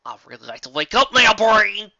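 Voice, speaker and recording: A reader voicing Jackie, a scrappy, defiant mare earth pony who shouts and chants taunts at her foes, in a storybook reading. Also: mid-range voice, big pitch swings, moderate pace, muffled recording, pitched above the performer's own voice. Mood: desperate. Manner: loud, taunting, shouting and sing-song chanting.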